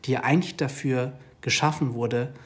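Guy expert being interviewed, medium pitch, formal, deliberate, leans on key words. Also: fairly even intonation.

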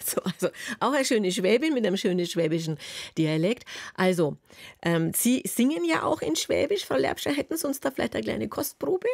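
A woman's voice: on the high side, big pitch swings, moderate pace, creaky, a little mellow, dry recording, studio quality. A woman hosting a radio talk show, asking probing follow-up questions. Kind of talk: radio interview.

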